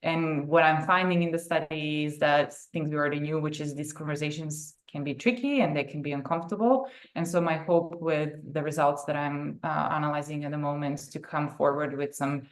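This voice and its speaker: Low-voiced young woman. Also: steady tone, fairly quick, hardly any pauses. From Serbia. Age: young adult. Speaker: guest, therapist and sports psychology consultant. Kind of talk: conversational interview answers. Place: video call.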